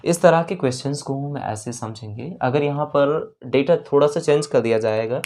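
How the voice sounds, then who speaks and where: Maths teacher, medium pitch, going thru problems step by step and repeating the numbers. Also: normal speed, a little mellow. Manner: explanatory, repeats figures and steps. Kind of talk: step-by-step exam maths lesson.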